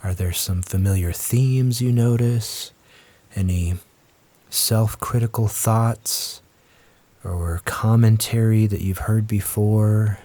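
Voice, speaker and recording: A man leads a guided meditation as the teacher, speaking gently and in a measured way with long pauses. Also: lowish voice. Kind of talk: guided meditation, slow scripted instruction.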